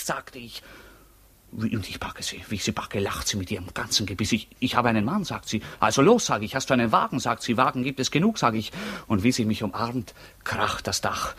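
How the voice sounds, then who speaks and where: An actor playing a boastful prisoner in a 1950s radio drama, spinning tall tales with dramatic, hesitant pauses. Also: male voice, medium pitch, a bit bright, breathy edge, no room sound.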